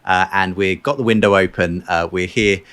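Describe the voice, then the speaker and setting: A man with a British accent and a low-pitched voice hosts a discussion show. He speaks in a conversational presenting style, addressing guests and audience from an indoor room. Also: fairly even intonation, normal speed, a bit bright, smooth. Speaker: show host. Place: room in a college with an open window.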